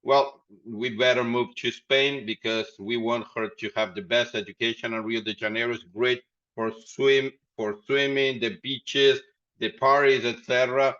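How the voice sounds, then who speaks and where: Man, rambling, animated, laughs often, muffled call audio. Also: medium pitch, moderate pace. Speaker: podcast guest, company founder. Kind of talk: casual interview storytelling. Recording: dull lo-fi sound.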